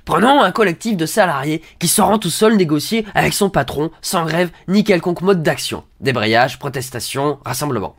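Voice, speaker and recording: A man's voice: fairly high pitch, expressive, normal speed, few pauses, a bit bright. A man, reading an article aloud with hesitant, sarcastic mock-naive asides. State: has a cold. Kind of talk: sarcastic read-along commentary.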